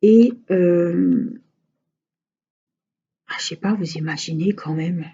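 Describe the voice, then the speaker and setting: A woman's voice: lowish voice, takes it slowly, creaky, dark tone, husky, dull audio. Chatty woman with a Franche-Comté accent. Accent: Franche-Comté French. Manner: chatty, rambling, frequent hesitations. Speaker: crafting vlogger. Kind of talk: casual chat while crafting.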